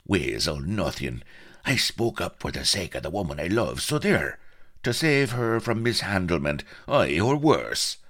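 Smug, lilting Irish rogue. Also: male voice, low-pitched, big pitch swings, measured pace, fairly bright tone, voice pushed up, heavily acted. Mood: defensive. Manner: wheedling, self-justifying, complacent. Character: sly, smug Irish rogue colonel, self-pitying and haughty.

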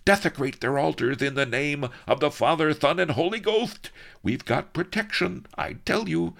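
A man's voice: medium pitch, fairly bright tone, voice pushed up. A man voicing a greedy, lisping old viceroy with wobbly dentures who roars and gloats. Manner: bombastic, roaring, gloating.

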